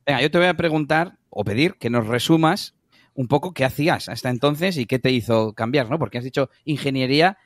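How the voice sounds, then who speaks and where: A man co-hosting a casual interview, chiming in briskly with short comments. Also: medium pitch, no echo, clean studio sound. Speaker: podcast co-host.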